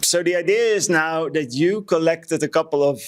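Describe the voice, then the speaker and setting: A man's voice: fairly high pitch, normal speed. A man co-hosting a podcast, chatting casually and reading out reviews. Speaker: podcast co-host.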